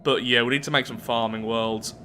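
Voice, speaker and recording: A man's voice: medium pitch, fairly even intonation, fairly quick, pushed and bright. A man hosting a game playthrough, switching between reading story text aloud and casual thinking-aloud asides. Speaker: gaming video host. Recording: music playing behind the voice. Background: background game music.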